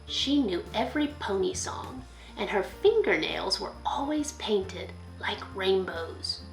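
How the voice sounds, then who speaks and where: A woman reading a children's picture book aloud as a school librarian, expressively, pausing to put questions to young listeners. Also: mid-range voice, big pitch swings, creaky, echoey room, faint music behind, poor audio.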